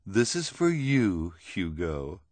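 A man's voice: low voice, very animated, slow, darkish tone, no echo, heavily acted. A man voicing a haughty, scheming villainous woman who coaxes with emphatic, condescending persuasion in an audiobook. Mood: sly generosity.

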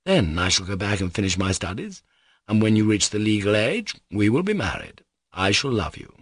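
A man reading an audiobook, voicing a gentle, guileless young man in a mild, sincere, plain-spoken way. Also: lowish voice, very animated, normal speed, slightly rough, dry recording, clean studio sound, exaggerated voice. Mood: earnest.

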